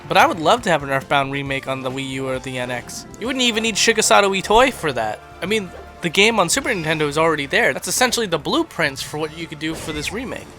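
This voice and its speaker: A man's voice: a little high, animated, fast, bright, forceful tone. A man hosting a casual, rambling game tour commentary in a chatty, self-deprecating way. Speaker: video host. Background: background music.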